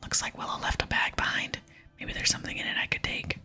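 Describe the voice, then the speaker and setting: An earnest, naive fantasy game adventurer, read by a woman, speaks in a puzzled way. The voice is a bright, very breathy whisper with music underneath. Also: quick pace. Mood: self-talking.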